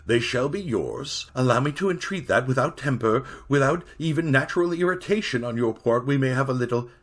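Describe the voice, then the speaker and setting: A man voicing an alarmed Victorian gentleman who speaks in a tremulous, placating way. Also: medium pitch, animated, brisk, voice pushed up.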